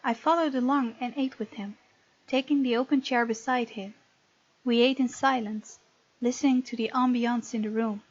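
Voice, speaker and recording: High-voiced woman reading a game aloud. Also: steady tone, normal speed, dry recording, highs cut off. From Netherlands. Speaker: gamer reading a visual novel aloud and voicing the heroine. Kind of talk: let's play narration with casual asides.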